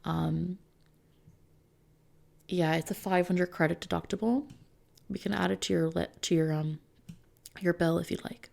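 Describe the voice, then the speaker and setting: A soft-spoken woman in an ASMR roleplay, gently and reassuringly explaining things like a service consultant, with breathy edges that drift toward a whisper. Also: on the low side, fairly even intonation, a little slow, long pauses. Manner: gentle, reassuring, checking in with the listener.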